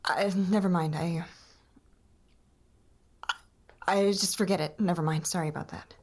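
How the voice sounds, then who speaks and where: An actress plays a nervous female patient with a fairly low voice. Her speech is hesitant and apologetic, with a self-deprecating edge, in naturalistic audio drama style. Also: steady tone, fast talker, darkish tone, natural voice. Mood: flustered.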